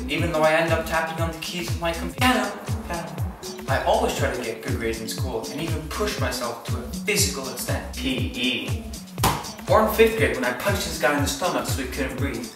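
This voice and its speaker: A teenage boy whose first language is Polish, giving a school book report with playful, jokey asides. His voice sits fairly high for a young man. It sounds roomy and distant, with music playing under it. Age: teens. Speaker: student. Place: small indoor room, plain wall. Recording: echoey room, distant mic, music behind.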